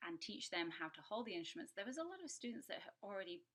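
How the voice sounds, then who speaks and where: A woman's voice: medium pitch, big pitch swings, quick pace, fairly bright tone. A middle-aged woman with a Yorkshire accent, introducing herself as a guest brass educator over a remote call, chatty and full of ums and restarts. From Yorkshire, England. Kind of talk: relaxed conversational self-introduction.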